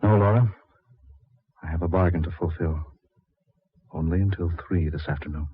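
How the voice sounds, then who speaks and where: An American actor playing a solemn, subdued, doomed husband in a 1940s radio horror play. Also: male voice, deep voice, moderate pace, husky. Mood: grave resolve. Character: grave, doomed husband returned from death, bound by bargain. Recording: muffled old 1940s radio recording.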